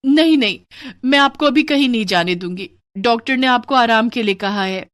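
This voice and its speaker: A woman's voice: fairly high pitch, normal speed, fairly bright tone, clear, resonant tone, no room sound, clean studio sound, voice pushed up, natural voice. A woman voicing a humble, worried mother in a moral story, speaking in an earnest, pleading way. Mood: worried, insistent. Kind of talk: Hindi moral story dramatized narration.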